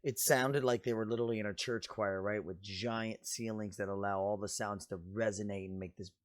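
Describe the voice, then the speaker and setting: A man in his forties with a deep, raspy voice, chatting enthusiastically and casually as he reacts to music. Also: steady tone, brisk, no room sound, studio quality.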